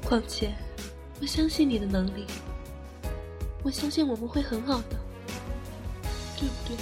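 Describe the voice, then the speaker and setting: A woman's voice: a little high, moderate pace, music in the background, lightly acted. A young woman playing a spirited, devoted, playfully bossy college-age girlfriend in a Mandarin radio drama. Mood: tender, earnest. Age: young adult.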